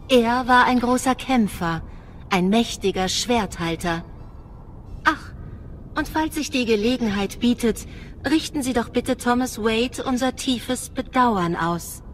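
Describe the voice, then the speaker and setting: Woman acting a condescending alien android lady, highish voice, super polite but menacing, radio play, bit of noise behind. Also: natural voice. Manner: formal, condescending. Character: polite, menacing female alien android envoy, coolly contemptuous.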